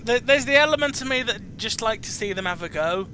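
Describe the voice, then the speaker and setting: A man's voice: sits high, animated, fairly quick, pushed and bright, slight background noise. A man hosting a casual chat about video games, speaking hesitantly and often repeating and restarting his phrases. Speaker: chat show host interviewing a guest.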